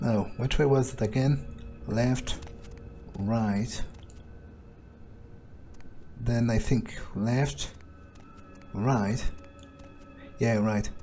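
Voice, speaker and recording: Male gamer in character: soft dark tone, slow measured delivery, thinking out loud as he plays. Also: medium pitch, steady tone. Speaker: gamer role-playing as his character. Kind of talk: in-character gameplay narration, thinking aloud. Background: faint music.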